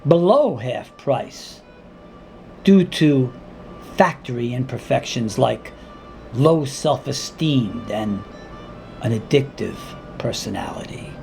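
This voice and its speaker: A man reading his own dramatic monologue in a deliberate, intense spoken-word style, with weighty pauses and repeated words. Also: mid-range voice, animated, vocal fry, a little mellow, faint music behind.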